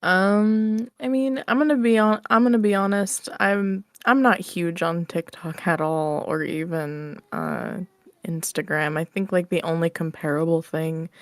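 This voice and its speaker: A woman's voice: lowish voice, no echo. A woman chatting casually and informally as an interview guest. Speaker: interview guest, audio roleplay creator. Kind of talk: casual chat.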